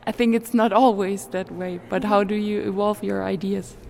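A woman's voice: medium pitch, fairly even intonation, normal speed, vocal fry, a little mellow, slight background noise. A woman interviewing two artists, asking curious questions and helping them find words.

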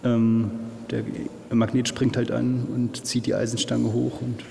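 Creaky man in a reverberant hall. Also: medium pitch, little pitch movement, measured pace, dark tone. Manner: casual, filler-heavy. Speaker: artist explaining his work. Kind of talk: interview explanation. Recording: reverberant hall, faint noise.

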